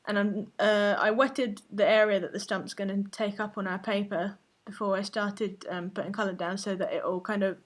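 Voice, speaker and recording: A woman's voice: mid-range voice, fairly even intonation, normal speed, few pauses. Chatty woman painting on a live stream. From Finnish family. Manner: chatty, laughs often, loses her thread. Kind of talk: casual chat while painting.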